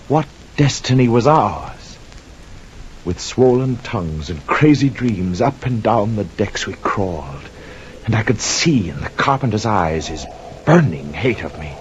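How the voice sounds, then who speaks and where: Slow, theatrical haunted sailor played by a man. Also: medium pitch, lively intonation, close to an everyday voice. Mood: bleak, haunted. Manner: theatrical, poetic, anguished declaiming. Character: guilt-haunted sailor narrating his cursed sea voyage. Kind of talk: 1950s radio drama acting. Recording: muffled vintage radio recording, faint noise, rain.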